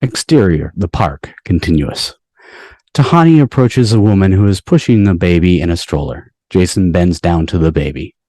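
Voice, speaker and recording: A man's voice: low voice, expressive, moderate pace, mellow, no room sound. A man reading stage directions as the narrator of a scripted table read. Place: video call from indoors.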